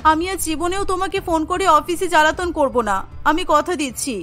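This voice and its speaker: An older cartoon mother-in-law, played by a woman, caring and fussy. Her bright, high voice is solicitous and pleading, with faint music behind it. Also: brisk. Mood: hurt, pleading.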